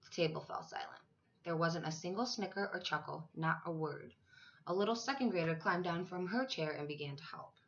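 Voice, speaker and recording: A woman's voice: on the low side, a little fast. Woman reading a storybook, slightly dull sound. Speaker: school teacher reading to third graders. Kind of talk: expressive children's storybook read-aloud.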